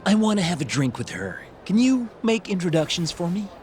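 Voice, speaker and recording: An actor playing a sleazy, wheedling, self-deprecating gambler in a video game, with a crude, slangy drawl. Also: male voice, high voice, very animated, a little fast, a little mellow, soft background music. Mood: sly, wheedling. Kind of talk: video game cutscene acting.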